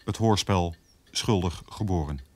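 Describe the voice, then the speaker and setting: Deep-voiced male radio announcer. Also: measured pace, a little mellow. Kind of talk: scripted radio introduction.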